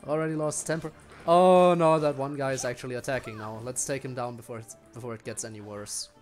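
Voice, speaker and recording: A man's voice: medium pitch, fairly even intonation, brisk, soft background music. A man streaming a video game with casual commentary and wry, muttered asides to himself. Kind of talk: casual live gameplay commentary.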